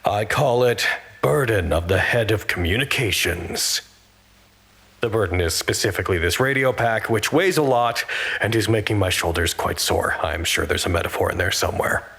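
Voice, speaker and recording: A man acting as a wry communications head in an audio drama, describing things in a dry, measured way. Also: on the low side, creaky, a bit bright, slightly rough, heavily acted. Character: wry, observant communications head reporting on an art show. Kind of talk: audio drama narration into a radio pack.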